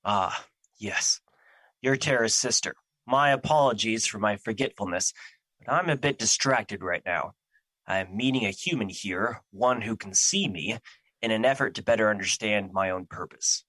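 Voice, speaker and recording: A man's voice: fairly low pitch, normal speed, pushed and bright, dry recording, rough recording. A man acting in an audio drama as a grave, brooding personified emotion who guards life, speaking in formal theatrical diction. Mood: polite, distant.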